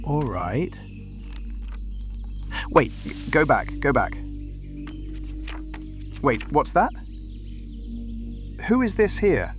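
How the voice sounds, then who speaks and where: A man's voice: fairly high pitch, wildly swooping pitch, drawn-out words, pauses often, soft background music, full character voice. An actor playing a cheeky, wary male rogue in a fantasy audio roleplay, talking in a casual, teasing and sceptical way. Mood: intrigued.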